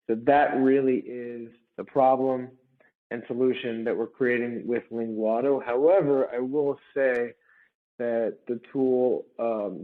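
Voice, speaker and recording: A man being interviewed as a startup founder, talking at length in a conversational, explanatory way and checking in with tag questions. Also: medium pitch. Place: remote call. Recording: muffled, phone-like remote call audio.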